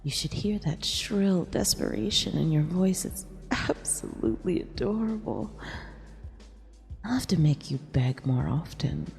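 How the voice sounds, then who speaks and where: A woman playing a mean, possessive eldritch empress in a half-whispered ASMR roleplay, condescending yet affectionate, with a breathy, creaky delivery. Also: deep voice, very animated, a little mellow, heavily acted. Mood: amused, doting. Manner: slow, deliberate, condescending purr. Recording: long reverb with music underneath.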